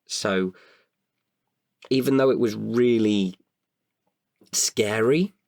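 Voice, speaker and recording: A man with a creaky voice in a candid podcast conversation, speaking hesitantly and often correcting himself. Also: fairly low pitch, darkish tone, no echo. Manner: hesitant, halting with self-corrections. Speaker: writer-performer guest.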